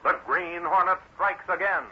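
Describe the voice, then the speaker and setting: A man's voice: high-pitched, steady tone, moderate pace. A man narrating a 1940s-style radio serial as a dramatic, declamatory announcer. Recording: noisy, muffled old radio recording.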